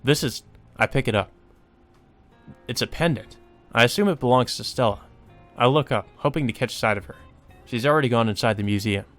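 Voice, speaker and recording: A man's voice: medium pitch, brisk, long pauses, a bit bright. A man reading visual novel narration aloud and adding casual, informal asides, sometimes with swearing. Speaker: let's play host. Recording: music behind the voice. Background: game music.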